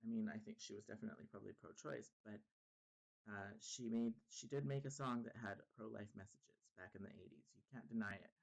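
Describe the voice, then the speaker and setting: A man's voice: lowish voice, fairly quick, gravelly, low-quality recording. A man hosting his own channel, talking casually to camera while congested and a little sick, so he sounds nasal, rambling with many ums and restarts. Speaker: YouTube channel host.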